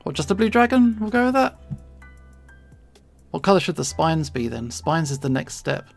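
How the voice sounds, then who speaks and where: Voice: medium pitch, very animated, normal speed, soft background music. A streamer with a southeast English accent, chatting casually and playfully while drawing, pronouncing words with care. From southern England. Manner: clearly enunciated, pronounces T's; playful, chuckles often.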